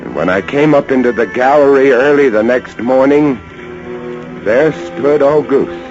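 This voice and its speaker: A man's voice: medium pitch, a little slow, faint music behind, lightly acted. An actor playing a haunted, intense lighthouse keeper, a man narrating an old-time radio horror story in a brooding, dramatic way. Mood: grim. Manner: dramatic, brooding, swinging from hushed to feverish. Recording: muffled, noisy vintage radio recording.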